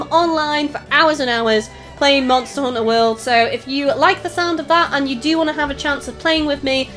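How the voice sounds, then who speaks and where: A high-voiced woman hosts a casual game livestream in a Scouse accent with a little Welsh in it. She projects her voice as she reads out chat and comments, with music playing underneath. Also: a bit bright. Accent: Northwest English Scouse with a touch of Welsh. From Northwest England. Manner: raised, projecting streamer voice. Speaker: livestream host, gamer. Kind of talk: casual live gaming commentary, reading out chat. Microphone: desktop USB microphone.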